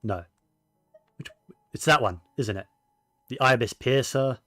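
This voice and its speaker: A man's voice: mid-range voice, measured pace, sharp tone, no echo, soft background music. Rambling man doing casual game commentary, dry sound. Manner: rambling, self-correcting. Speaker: game streamer.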